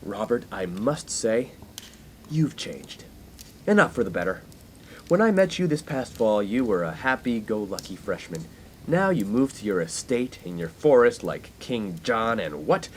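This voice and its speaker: A man's voice: on the low side, very animated, measured pace, a little hiss or hum. An actor playing a pompous, skirt-chasing young college man in a 1950s comedic radio play, given to grandiose, wordy speeches. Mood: indignant, grandiose.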